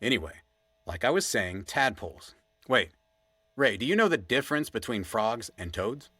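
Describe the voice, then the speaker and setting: A man's voice: medium pitch, very animated, normal speed, sharp tone, breathy edge, no room sound, full character voice. A man playing an earnest podcast sidekick in a conversational audio drama, telling stories in a rambling, digressive way. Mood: earnest, chatty.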